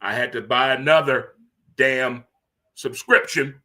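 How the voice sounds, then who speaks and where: Fifties guy doing a solo sports stream, bright punchy voice, rambly and playful. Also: medium pitch, a little slow. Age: 50s. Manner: animated, rambling, teases himself with repeated "huh?"; talks to himself by name. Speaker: sports talk show host. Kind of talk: solo livestream sports talk. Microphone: streaming studio mic.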